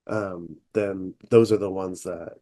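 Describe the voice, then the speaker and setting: Man, fairly deep voice, therapist co-host, reflective, candid, casual talk, fillers. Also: steady tone, slow pace, dark tone. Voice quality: relatively deep voice. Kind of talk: casual chat.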